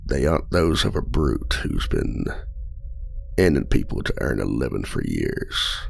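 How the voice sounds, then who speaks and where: A man's voice: very animated, measured pace, full character voice. A man playing a smug, flirtatious outlaw. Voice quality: deep gravelly voice. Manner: teasing, silky, flirtatious. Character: charming imprisoned male outlaw trickster, smug flirt. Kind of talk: ASMR roleplay audio.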